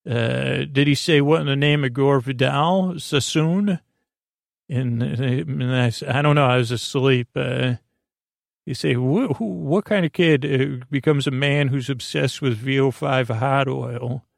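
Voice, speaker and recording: A man hosting a bedtime podcast in a creaky voice, meandering and goofy, with hesitant restarts and tangled tangents. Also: mid-range voice, measured pace, no room sound, studio quality. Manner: goofy, silly. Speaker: podcast host. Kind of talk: rambling bedtime story podcast monologue.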